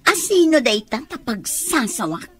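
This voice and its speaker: A woman's voice: high voice, sing-song, unhurried, faint music behind. A woman acting in a radio drama as a scornful, watchful female office schemer with an Ilocano accent. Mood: menacing, suspicious.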